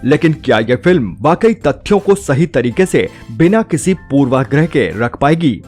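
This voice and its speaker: A man's voice: mid-range voice, animated, normal speed, a little mellow. A man narrates a scripted film review with emphatic, rhetorical phrasing. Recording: music bed under the voice. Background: background music.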